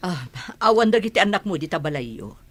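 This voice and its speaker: A woman with an Ilocano accent playing a blunt, reproachful village woman with a husky voice in a radio drama. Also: fairly low pitch, big pitch swings, fairly quick.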